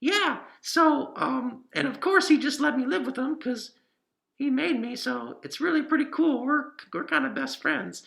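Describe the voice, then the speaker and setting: A man voicing a friendly, excitable boy cat puppet who chats eagerly to children. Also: extremely high pitch, a little slow, vocal fry, voice pushed up, heavily acted. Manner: chatty, eager, addressing children.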